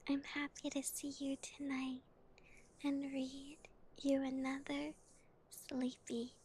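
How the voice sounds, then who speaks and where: A woman whispering gently and softly as an ASMR streamer, giggling now and then and playing a cute spooky bat persona. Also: sits high, monotone, takes it slowly, a little hiss or hum. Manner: calls herself a bitty bat, spooky cutesy persona. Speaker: ASMR streamer reading chat.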